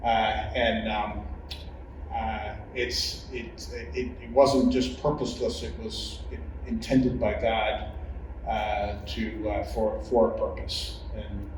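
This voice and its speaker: Older man, slow pace, lowish pitch, humble, reflective, hesitant, roomy echo, clear background noise. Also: level tone. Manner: hesitant, many pauses, humble. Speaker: former church leader. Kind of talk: reflective personal testimony. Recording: echoey room, distant mic, clear noise.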